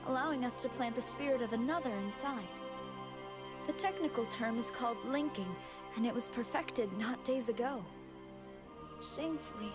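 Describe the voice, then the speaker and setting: A woman's voice: high voice, close to an everyday voice. A woman playing a polite, earnest scientist with a remorseful air, speaking carefully and formally in anime game style. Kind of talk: dubbed anime video game acting. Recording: music behind the voice.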